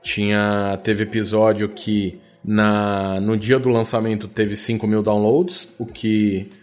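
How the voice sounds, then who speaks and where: A man's voice: fairly low pitch, flat delivery, slow. A man with a Brazilian accent talking casually as a podcast guest, informal, a bit sweary and self-deprecating. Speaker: podcast guest, audio drama producer. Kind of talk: casual chat.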